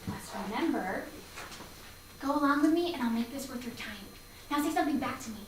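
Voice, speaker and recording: A bold young woman played by an actress, coaxing and playful in a staged comedy. Her voice is high-pitched and comes from a distance in an echoey room with faint noise. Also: a little fast, lightly acted. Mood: breezy bluffing. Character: bold, cheeky young woman bluffing as a sweetheart. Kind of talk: staged play reading.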